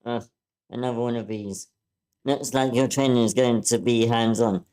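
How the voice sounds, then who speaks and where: A woman's voice: very deep voice, steady tone, moderate pace, warm tone. A woman playing a tough, no-nonsense witch mentor, brusque and fearless, at a screenplay table read. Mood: dry, brusque.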